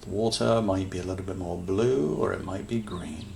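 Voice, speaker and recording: A man with a low, heavily creaky voice guides a meditation very slowly. He speaks calmly and soothingly in short phrases with long pauses, over faint nature ambience and birdsong. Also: slight background noise. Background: natural sounds, birds.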